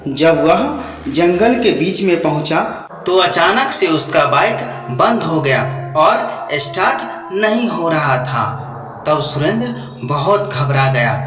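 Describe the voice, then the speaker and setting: A man's voice: fairly high pitch, animated, takes it slowly. A man reading scripted narration for a children's story. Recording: echoey, distant, music behind.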